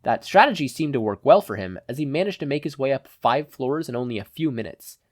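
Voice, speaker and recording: A man's voice: mid-range voice, expressive, brisk, no echo, clean studio sound. A man giving a scripted narration of a fan fiction story as an audiobook reader. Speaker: podfic narrator.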